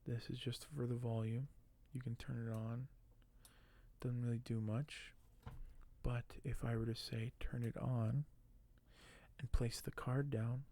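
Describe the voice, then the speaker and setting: A man speaking softly and gently for an ASMR video, sometimes close to a whisper, with a halting delivery as host. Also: medium pitch, flat delivery. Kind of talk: soft-spoken ASMR, partly whispered; reading aloud and commenting on collectibles.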